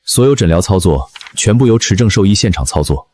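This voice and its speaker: A deep-voiced, formal senior vet. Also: male voice, expressive, brisk, a little mellow. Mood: firm, official. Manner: firm, official. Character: composed, formal senior male veterinarian. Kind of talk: scripted drama dialogue.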